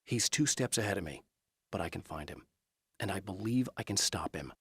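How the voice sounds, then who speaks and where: Resolute, brooding game hero: low, husky, guarded, measured delivery, dry studio sound. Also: male voice, animated, a bit bright, no echo, full character voice. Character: determined, brooding male hero of a supernatural thriller game. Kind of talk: dramatic game acting, introspective monologue.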